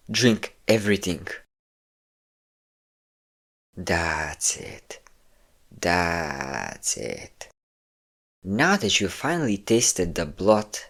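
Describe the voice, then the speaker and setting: Deep-voiced, possessive vampire captor played by a man. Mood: menacing, forceful, then smug, taunting. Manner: smug, coaxing, theatrical endearments. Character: possessive, cocky male vampire captor, obsessive and condescending. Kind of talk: intimate roleplay audio drama monologue.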